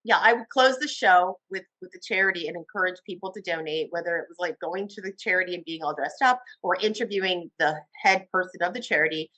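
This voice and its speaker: A woman from Cuba, a television host, telling her story in an interview with an enthusiastic, animated manner. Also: fairly low pitch, fast, few pauses, no echo, low-quality recording. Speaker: TV host guest. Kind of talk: interview conversation.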